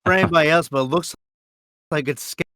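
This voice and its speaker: A man's voice: fairly high pitch, quick pace, pushed and bright. A man making casual remarks as a participant in a group film commentary. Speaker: cast member on commentary track.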